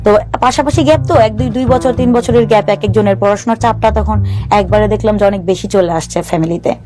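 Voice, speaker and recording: A woman's voice: mid-range voice, fast talker, over music. A woman in her thirties from Bangladesh, earnestly telling her own life story to a show host and often repeating what her family said. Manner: earnest, often voicing family members' words. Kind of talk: personal storytelling in an interview.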